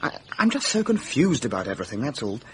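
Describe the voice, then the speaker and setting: A man's voice: mid-range voice, very animated, quick pace, background noise, close to an everyday voice. An actor playing a lovesick, confused young man in a British radio mystery drama, emotional and hesitant. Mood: contrite, hesitant.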